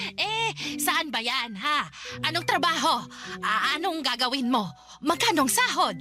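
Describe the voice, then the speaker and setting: A woman playing a doting, easily delighted elderly grandmother in a radio drama, exclamatory and halting, with a Filipino accent. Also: high voice, sing-song, over music, full character voice. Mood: overjoyed. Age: older. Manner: exclamatory, halting, breaking into cackles.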